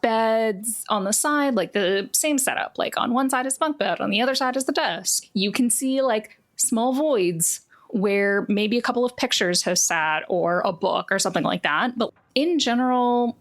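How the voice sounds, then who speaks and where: Higher-pitched woman game master, informal. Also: moderate pace. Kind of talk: casual improvised narration of a tabletop game.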